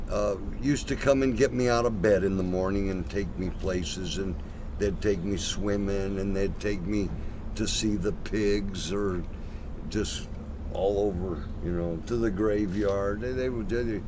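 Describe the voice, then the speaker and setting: A man with a lowish voice answers interview questions very slowly. His casual talk is halting, with pauses mid-phrase, recorded on location over clear vehicle noise. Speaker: interview guest. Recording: noisy location sound with vehicle rumble.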